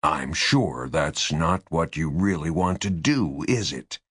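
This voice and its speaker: A male narrator plays an interrogator in an audiobook thriller, a man with strained patience. He is low-voiced and slow. Each word is measured, tight and insistent. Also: expressive, a bit bright, breathy edge, no echo, studio quality, full character voice. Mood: tightly controlled. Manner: measured, tight, deliberately enunciated.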